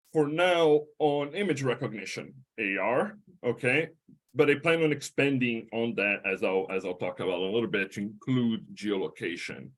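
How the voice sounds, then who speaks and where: A middle-aged man with a Portuguese-speaking accent gives a lecture as a history professor. His delivery is halting, full of fillers, restarts and tag questions. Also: medium pitch. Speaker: history professor giving a talk. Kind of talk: academic lecture.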